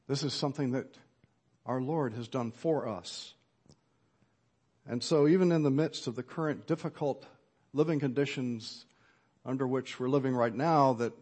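A middle-aged man preaching an earnest sermon at a measured pace, with occasional fillers. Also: mid-range voice, darkish tone. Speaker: pastor.

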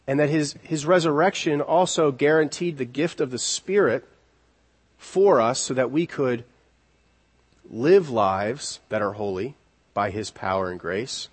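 A man preaching an expository sermon in an earnest, deliberate way, repeating key words for emphasis. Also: medium pitch. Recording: long reverberant hall echo.

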